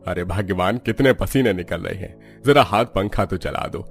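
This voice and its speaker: Man playing a weary low-voiced cartoon father. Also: moderate pace, vocal fry, over music. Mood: weary complaint. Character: weary sweating middle-aged father, grumbling about heat. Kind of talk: Hindi cartoon story voicing.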